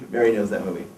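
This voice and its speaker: A man's voice: fairly low pitch, darkish tone, far from the mic. Man lecturing students, blunt, opinionated, heard from afar in a roomy hall, faint noise. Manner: blunt, opinionated, asks the audience questions. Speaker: wealth management firm president giving a career talk. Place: lecture room. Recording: echoey room, recorded from afar, faint noise.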